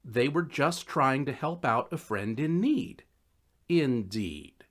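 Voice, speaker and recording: A man reading a scripted first-person story in a wry, conversational, expressive way. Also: mid-range voice, slow. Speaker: audiobook narrator.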